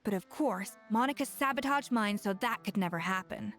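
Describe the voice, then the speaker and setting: An actress playing a blunt, exacting female genius chef in a video game, with a brisk, matter-of-fact scolding manner. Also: mid-range voice, fairly bright tone, faint music behind, close to an everyday voice.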